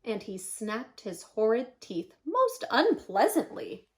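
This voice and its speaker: A woman reading a children's picture book aloud expressively, with dramatic, emphatic pauses. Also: a little high, huge pitch swings, a little mellow. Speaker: storyteller.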